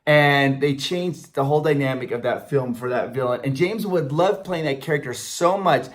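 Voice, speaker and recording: A man's voice: mid-range voice, moderate pace. A man in his forties with an American accent, co-hosting in casual, enthusiastic, jokey banter to camera. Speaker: video channel co-host, convention MC. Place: small indoor room before a banner.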